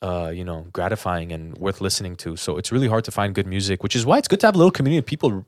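A man with a fairly low voice talks as a podcast co-host. He is earnest and informal, circling back on phrases to stress his point. The sound is dry and close, with little room around it. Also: rapid-fire, dry recording. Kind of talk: casual podcast chat.

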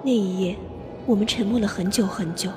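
A woman's voice: mid-range voice, brisk, natural voice. A woman acting a cold, curt young female assassin in a radio drama, speaking in terse, clipped lines. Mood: wistful. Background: music score underneath.

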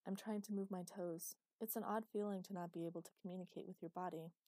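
A woman's voice: lowish voice, steady tone, quick pace, dark tone, dry recording, clean studio sound. A woman reading a frank, inquisitive injured woman. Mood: matter-of-fact. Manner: matter-of-fact, inquisitive.

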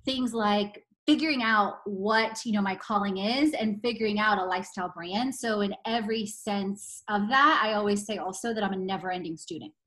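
A woman's voice: mid-range voice, normal speed, hardly any pauses, a bit bright. A woman in her forties from Poland, a podcast guest talking enthusiastically and laughing often as she chats. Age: 40s. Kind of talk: casual interview chat.

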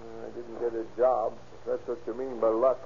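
Actor as gravelly, bitter husband in radio drama. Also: male voice, medium pitch, monotone, moderate pace, a little mellow, lightly acted. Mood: sullen, ominous. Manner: querulous, accusing. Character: bitter, paranoid, volatile jobless husband. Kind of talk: 1930s radio drama acting. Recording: muffled, noisy old radio recording.

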